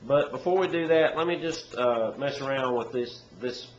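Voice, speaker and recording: A man with a head cold and a stuffy nose, teaching banjo in a relaxed, rambling way with many pauses. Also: mid-range voice, slight background noise. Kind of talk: casual instructional talk. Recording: echoey room with dull, lo-fi sound. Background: loud banjo playing.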